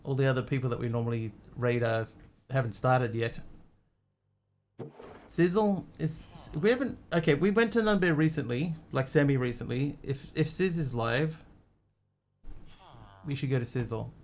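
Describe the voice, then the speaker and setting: Guy who grew up in australia, streamer just chatting, lots of ums and restarts, mid pitch. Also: moderate pace, a little hiss or hum. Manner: rambling, hesitant with many ums and restarts. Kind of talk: casual live-stream chat.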